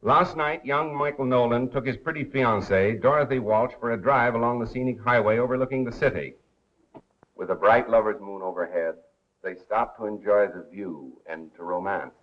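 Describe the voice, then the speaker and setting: Polished 1930s radio crime commentator, played by an actor, dull soundtrack. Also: male voice, medium pitch, lightly acted. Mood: measured storytelling. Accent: American. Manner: polished, measured announcer delivery. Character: suave, wry male radio crime commentator and amateur sleuth. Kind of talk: 1930s American film acting as a radio newscaster.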